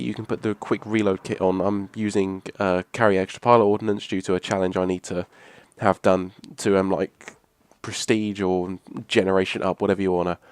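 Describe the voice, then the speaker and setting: A hoarse young man, rambling casually through a video game weapon review. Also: lowish voice, dry recording. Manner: rambling, restarts a lot, casual swearing. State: hoarse from a cough. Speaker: gaming channel host. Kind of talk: casual gameplay commentary.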